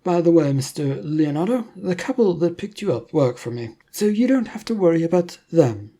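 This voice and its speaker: A narrator voicing a composed male secret agency boss in an adventure story, speaking politely and formally in measured phrases. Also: mid-range voice, animated, dark tone. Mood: smooth assurance.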